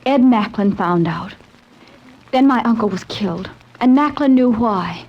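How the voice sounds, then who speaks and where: A woman's voice: a little high, expressive, measured pace, lo-fi sound. An actress playing a troubled, hesitant young woman in a 1940s radio drama. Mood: troubled, confessing.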